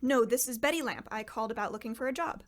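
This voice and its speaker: A woman's voice: a little high, fast talker, lightly acted. A woman playing a polite, desperate widow looking for work in a comedy radio play. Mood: polite, uncertain. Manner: polite, pleading.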